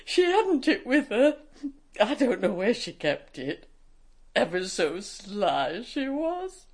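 An actress playing a fretful, devoted nanny in a radio drama, talking plaintively in folksy, old-fashioned phrases. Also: female voice, mid-range voice, sing-song, takes it slowly, slightly rough, exaggerated voice. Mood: plaintive, fond.

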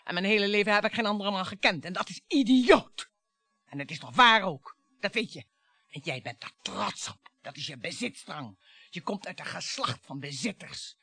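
Volatile, embittered wife, brightly intense. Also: female voice, mid-range voice, very animated, moderate pace, husky, no echo, full character voice. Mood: bitter, resigned. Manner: dramatic, mocking, volatile monologues. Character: embittered, taunting, theatrical wife on the brink of violence. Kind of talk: radio play acting.

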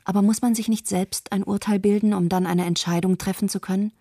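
A woman playing a thoughtful, guilt-troubled mother in a measured first-person narration for a radio play. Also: medium pitch, steady tone, dark tone, dry recording, clean studio sound, natural voice. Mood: troubled, pensive. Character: thoughtful Tokyo mother who fled with her daughters, troubled by guilt.

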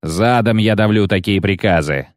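A gruff dwarf battle commander, acted by a male reader, coarse and defiant. The voice is a hoarse growl that barks out its words, recorded dry in a fantasy audiobook. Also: fairly low pitch, moderate pace, no room sound, lightly acted. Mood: scornful. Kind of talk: audiobook character acting.

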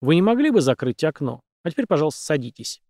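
A man voicing a friendly, knowing young man who speaks softly and confidingly. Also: on the high side, sing-song, fast, dry recording, clean studio sound.

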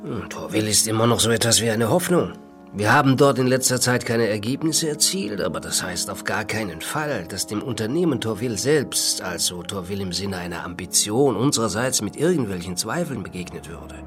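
An actor playing an evasive, formal male official in a radio drama, with a measured, bureaucratic and portentous manner. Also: on the low side, lively intonation, soft background music, lightly acted. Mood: guarded.

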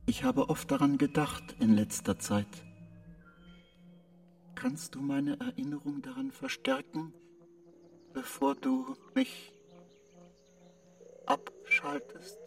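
An actor playing a gentle, earnest spaceship computer in a radio drama, speaking deliberately and pensively. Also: male voice, very high voice, sing-song, vocal fry, faint music behind, heavily acted. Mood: wistful, resigned.

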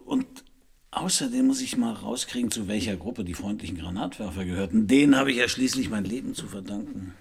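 A husky, wry private detective. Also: male voice, fairly low pitch, expressive, fairly quick, full character voice. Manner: wry, casual questioning. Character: wry male private detective, curious and cheeky, recovering. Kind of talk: radio crime drama dialogue.

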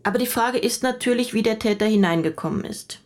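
A woman's voice: on the high side, brisk, lightly acted. An actress in a radio drama playing a matter-of-fact female police detective who questions probingly. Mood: probing, businesslike.

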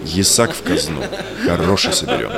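An actor playing an authoritative Cossack expedition chief, declaiming rhymed verse in an audio drama. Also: male voice, low-pitched, very animated, moderate pace, vocal fry, a crowd in the background, heavily acted. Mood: purposeful.

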